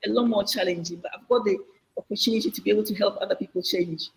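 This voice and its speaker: A woman's voice: medium pitch, big-room sound, rough recording. An older woman from Ghana, talking earnestly and reflectively as an interview guest over a video call, often restarting her sentences. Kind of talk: casual interview answers.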